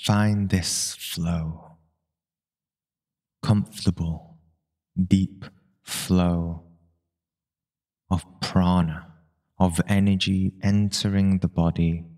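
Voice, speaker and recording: A man leading a guided meditation, giving calm spoken instructions in short, unhurried phrases with long pauses. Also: low-pitched.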